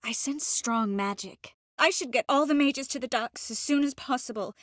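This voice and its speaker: An actress voicing a young sorceress in a video game, gentle, earnest and determined, with a measured, expressive delivery. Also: female voice, sits high, no echo, studio quality. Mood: focused, determined. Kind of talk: video game character voice lines.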